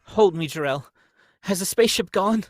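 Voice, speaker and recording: A woman's voice: mid-range voice, animated, normal speed, dry recording, clean studio sound, close to an everyday voice. A woman playing a frightened, desperate wife and mother in an old-time radio drama, pleading breathlessly and melodramatically.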